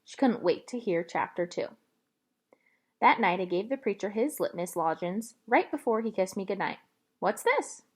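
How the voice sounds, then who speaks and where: A woman reading a children's novel aloud as an expressive storyteller. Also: medium pitch, moderate pace. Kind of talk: read-aloud storytelling with voiced dialogue.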